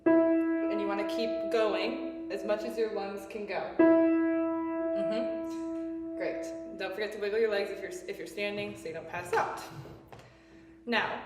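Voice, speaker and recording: A woman coaching singing exercises step by step, encouraging and given to playful asides. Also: mid-range voice. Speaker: singing teacher leading vocal exercises. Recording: echoey room, distant mic, music notes behind.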